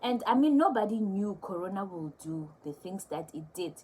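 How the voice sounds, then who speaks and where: A young woman speaks in a mellow, warm tone. She talks candidly and conversationally, recounting her own experience as a teacher to her viewers. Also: medium pitch, animated, moderate pace, breathy edge. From South Africa. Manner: candid, conversational, with soft laughs. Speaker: teacher vlogger. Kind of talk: casual storytelling to camera.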